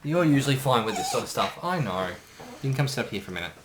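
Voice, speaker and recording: A man's voice: mid-range voice, sing-song, very fast. A man chatting casually as a co-commentator on a recording. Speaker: co-commentator and voice actor. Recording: noisy room, off mic.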